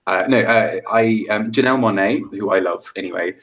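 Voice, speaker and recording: A man's voice: on the low side, a little fast, crowd noise. A man co-hosting a casual chat, hesitant and searching for words. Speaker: co-host.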